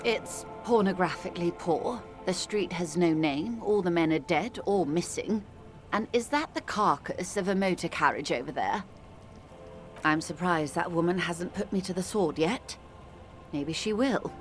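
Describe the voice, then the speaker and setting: An actress playing a wealthy, cultured negotiator in a video game, wry, composed and condescending, with refined, dryly ironic delivery. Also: female voice, lowish voice, animated, a little slow, faint music behind. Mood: wry disdain. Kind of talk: video game dialogue acting.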